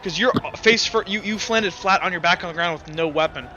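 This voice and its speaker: A man's voice: high-pitched, brisk, pushed and bright, lo-fi sound. A man acting as game master in a tabletop roleplaying game, talking casually and swearing freely. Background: background music.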